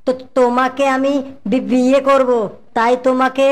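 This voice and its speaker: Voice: sits high, monotone, normal speed, smooth, lightly acted. A performer voicing a brash male fox in a cartoon, a clumsy, insistent suitor who stutters heavily. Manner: heavy stutter, repeats syllables. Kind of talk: exaggerated cartoon voice acting.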